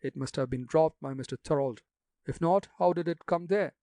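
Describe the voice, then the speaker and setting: A man's voice: fairly high pitch, moderate pace, mellow, no echo, studio quality, close to an everyday voice. A man voicing a stern male rector who speaks severely and cuttingly.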